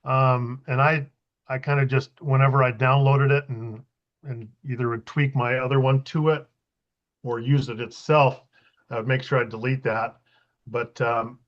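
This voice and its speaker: Guy explaining stuff casually on a group video call, bit lo-fi, sorta tentative. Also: medium pitch. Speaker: presenter sharing job-search tool experience. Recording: slightly muffled video-call audio.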